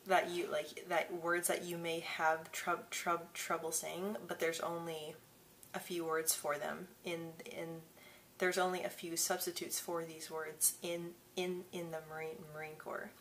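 Casting: woman, 20s, vlogger with a heavy stutter, low flat delivery, bedroom camera mic. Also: a little slow, a little hiss or hum. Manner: stutters with repeated syllables and blocks. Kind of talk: casual talk to camera. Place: small bedroom indoors. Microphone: camera mic at desk distance.

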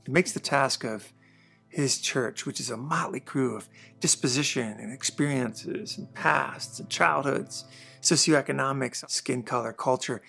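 A man in his forties speaks earnestly to camera in a studio, his voice gravelly with a husky edge. He moves between forceful points and low, quiet asides. Faint music plays underneath. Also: unhurried. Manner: earnest, conversational, with emphatic bursts and quiet asides. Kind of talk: reflective monologue to camera. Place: dark studio backdrop, indoors.

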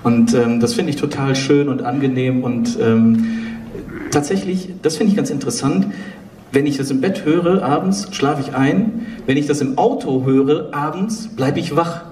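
A man's voice: mid-range voice, a little slow, dark tone, a little hiss or hum. A man in his thirties talking casually as a guest on a stage panel. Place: on stage in a dark event hall. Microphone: handheld microphone, heard through room. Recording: echoey hall, distant from camera.

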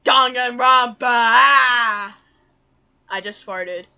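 Young woman, bright forceful voice, unhurried pace, giggly, amateur cartoon fan dub, improvised comedy. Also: fairly high pitch, clear, resonant tone. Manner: giggly, swearing, breaks out of character.

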